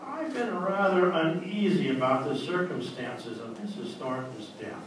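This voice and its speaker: An actor playing a probing, reasoning doctor in an old-time radio drama, formal and deliberate in his explanations. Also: on the high side, animated. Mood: suspicious, firm. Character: probing, reasoning male doctor, calmly accusatory. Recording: very echoey hall, distant mic, clear noise.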